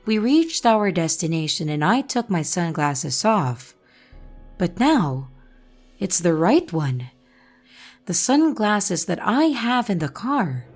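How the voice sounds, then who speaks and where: Slow, lively woman narrating creepy tales. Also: on the low side, faint music behind. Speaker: channel host and narrator. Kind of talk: first-person story narration.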